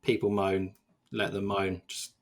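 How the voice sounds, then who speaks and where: A man's voice: sits low, level tone, unhurried, creaky. A man co-hosting a casual games podcast, rambling and self-deprecating with lots of fillers. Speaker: games journalist co-host.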